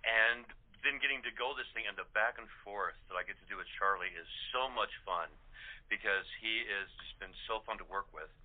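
A man's voice: on the low side, a little fast. A man chatting casually and enthusiastically as an interviewed cast member. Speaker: cast member, amateur voice actor being interviewed. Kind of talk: casual chat. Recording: narrow, radio-like phone sound.

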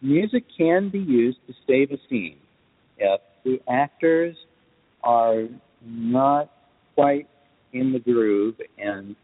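A man with a husky voice explains his points in a deliberate, emphatic way, as in a podcast discussion. The sound is narrow and phone-like, with a long reverberant tail. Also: mid-range voice. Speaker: guest film composer.

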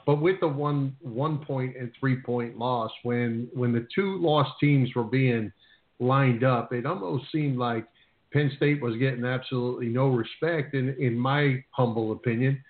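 A man with a mid-range voice hosts a sports radio show. He speaks conversationally, and the sound is thin and narrow, like a telephone. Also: medium pitch.

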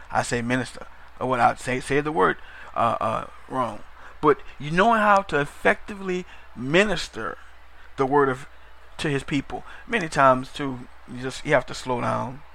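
A bright, forceful male preacher with a somewhat high voice. He repeats his points, calls for an amen, and now and then trips over his words or rushes ahead. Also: creaky. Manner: emphatic, repeats phrases, call-and-response "Amen?"; stumbles over words, drifts into fast talk. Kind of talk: broadcast sermon.

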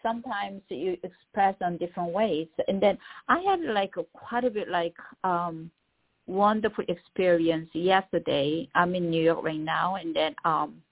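Middle-aged korean-accented woman talking halting and unsure, lots of ums, tinny phone sound. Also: on the low side. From South Korea. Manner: halting, searching for words, many fillers. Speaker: poet guest. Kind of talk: casual radio interview.

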